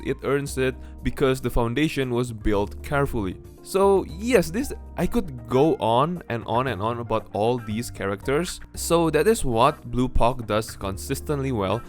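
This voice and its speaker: Man giving an informal game review over music. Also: mid-range voice. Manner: informal, peppers talk with tag questions. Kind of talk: opinionated game review commentary. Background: background music with guitar.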